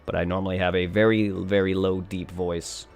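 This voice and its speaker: A man's voice: low-pitched, little pitch movement, moderate pace, soft background music. A young man chatting casually on a live game stream, rambling and thanking his viewers. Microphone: desk condenser microphone.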